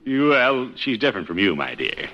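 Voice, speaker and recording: Middle-aged blustery uncle, self-satisfied and highly animated, 1950s sitcom style, muffled radio sound. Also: male voice, normal speed, slight background noise. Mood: pleased, oblivious. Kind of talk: 1950s radio situation comedy acting.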